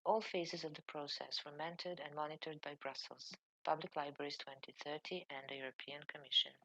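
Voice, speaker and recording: A woman from Serbia, a librarian, reading a formal conference presentation in a measured way. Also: low-pitched, fairly even intonation, bright, forceful tone, poor audio.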